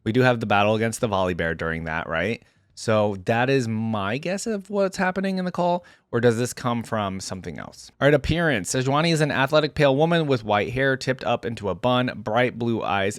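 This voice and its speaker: A man's voice: medium pitch, dry recording. Male reaction host, mid-range voice, informal and opinionated, commenting and reading aloud, very dry close recording. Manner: informal, opinionated fan talk. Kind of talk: casual commentary mixed with reading wiki text aloud.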